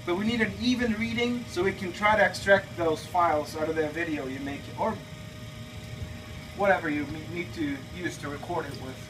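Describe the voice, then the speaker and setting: A man from Norway in his thirties talks loosely and rambles, restarting his sentences. His voice sits a little high. The camera mic hears him from a few feet off, with a faint fan hum behind him. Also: moderate pace, distant mic. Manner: chatty, rambling, many fillers and restarts. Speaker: flight simulator builder. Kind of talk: casual video request. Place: home-built airliner cockpit simulator, indoors. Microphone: camera held by his son a few feet away.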